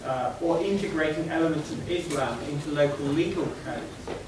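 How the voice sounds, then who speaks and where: A man on an academic panel making a brisk point. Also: on the high side. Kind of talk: academic round-table discussion. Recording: echoey hall, distant mic, background noise.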